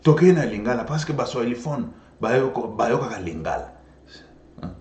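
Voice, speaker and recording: A man from Congo, a Swahili native speaker with a Congolese French accent, giving an impassioned political monologue as an animated storyteller who repeats himself and switches languages. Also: medium pitch, brisk. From Democratic Republic of the Congo. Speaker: political commentator. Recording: echoey room.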